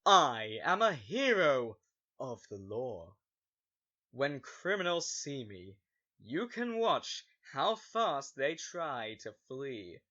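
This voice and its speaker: A man playing a vain, excitable self-styled hero in an amateur audio drama, boasting in a pompous, theatrical way. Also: medium pitch, takes it slowly, dull audio, exaggerated voice. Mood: boastful. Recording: loud music under the voice.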